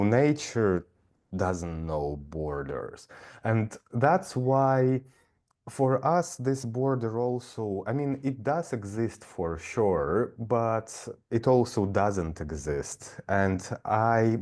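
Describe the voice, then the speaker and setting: A man's voice: low-pitched, darkish tone. A middle-aged man, a nature guide talking thoughtfully in an interview, with many fillers and restarts. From Siberia. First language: Russian. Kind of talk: casual conversation.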